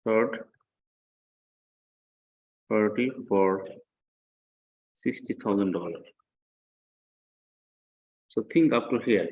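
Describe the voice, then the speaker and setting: A man with a slightly low voice gives a classroom lecture. He explains in a didactic, step-by-step way, repeating himself for emphasis. He often stops to check understanding with brief questions. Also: very slow, long pauses, muffled recording. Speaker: accounting lecturer.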